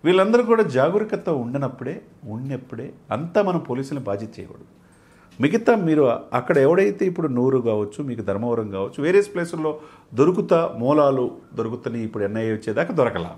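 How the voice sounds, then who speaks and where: An impassioned male commentator. His mid-pitched voice swings widely as he talks at a rapid clip. He is emphatic and rhetorical, piling up repeated phrases like a list. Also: lively intonation, darkish tone. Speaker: analyst and studio guest.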